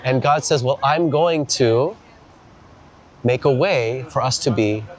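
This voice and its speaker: A man hosting a faith conversation, encouraging and explaining things slowly and deliberately. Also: mid-range voice. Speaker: evangelist host. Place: city street outdoors.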